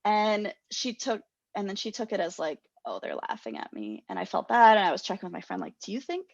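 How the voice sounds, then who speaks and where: A woman's voice: mid-range voice, sing-song, brisk, creaky, dry recording. Young woman podcast co-host, rambling storyteller, self-mocking, dry muffled sound. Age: young adult. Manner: rambling, self-deprecating, laughs a lot. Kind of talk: casual storytelling chat.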